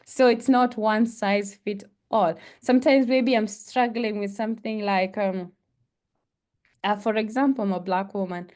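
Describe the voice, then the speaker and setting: A woman's voice: mid-range voice, steady tone, a little mellow. Kenyan woman, young adult, earnest panel speaker, hesitant delivery. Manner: earnest, halting with fillers. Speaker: panel speaker, international student. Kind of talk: presentation and discussion.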